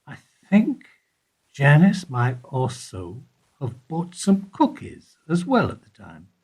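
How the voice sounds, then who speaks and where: A man acting in a radio play as an evasive older bank employee who answers in a guarded, deliberate way. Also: fairly high pitch, huge pitch swings, a little mellow, breathy edge, heavily acted. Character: evasive older male bank foyer employee under questioning.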